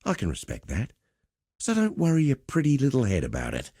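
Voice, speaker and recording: A man's voice: medium pitch, huge pitch swings, brisk, warm tone, husky, no echo, clean studio sound, full character voice. A man playing a swaggering, cheerful arena fight promoter in a video game, with theatrical, chummy, slangy patter. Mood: charming showman. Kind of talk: video game character voice lines.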